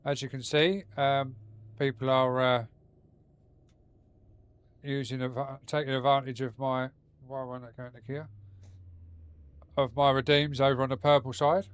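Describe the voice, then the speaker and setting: Man, streamer, blocked nose, rambling casual chat, mid pitch, clean smooth voice. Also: flat delivery, measured pace, pauses often, no echo. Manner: rambling, chatty, swears casually. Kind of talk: casual live-stream chat while gaming. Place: home gaming setup.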